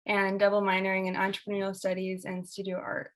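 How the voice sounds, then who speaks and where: Even-voiced young woman student. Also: medium pitch, fast talker. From Rochester, New York, USA. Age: young adult. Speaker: student inductee. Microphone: video call.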